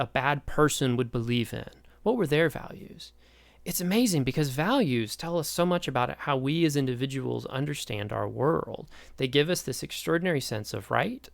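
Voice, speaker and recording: A man's voice: on the high side, gravelly, dry recording. A man in his thirties from East Tennessee with a Midwestern American accent, giving a chatty, enthusiastic sociology lecture full of questions to the listener. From East Tennessee, Appalachia. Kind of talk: recorded online lecture.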